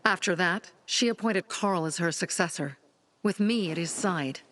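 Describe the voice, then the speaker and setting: A woman's voice: on the low side, normal speed, fairly bright tone, a little hiss or hum, close to an everyday voice. A woman voicing a determined young female resistance leader in a video game, speaking earnestly and resolutely. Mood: reflective. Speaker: settlement leader.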